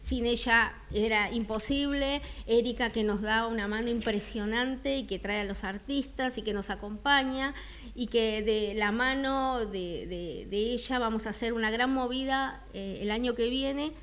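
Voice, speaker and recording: A woman's voice: on the high side, a little slow, a bit bright. A middle-aged woman with a Buenos Aires accent, talking casually as a radio interview guest, hesitant and agreeable. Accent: Buenos Aires Argentine. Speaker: interview guest, art expo organizer. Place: small radio studio. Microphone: close studio microphone with headset.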